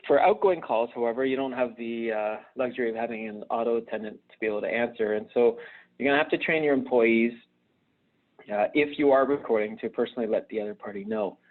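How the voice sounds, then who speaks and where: A man gives an instructional live webinar presentation, explaining things conversationally and haltingly, often hesitating and repeating words. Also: mid-range voice. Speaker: presenter at a virtual conference. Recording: narrow, phone-like online call audio.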